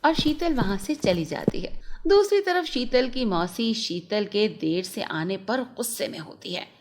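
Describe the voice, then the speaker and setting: A woman's voice: medium pitch, expressive, a little slow, noisy background. A woman narrating a scripted fairy tale for children. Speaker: storyteller.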